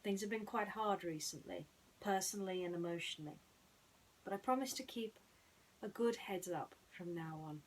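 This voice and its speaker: A woman hosting and narrating bedtime stories in a gentle storytelling style. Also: mid-range voice, normal speed, slight background noise, poor audio.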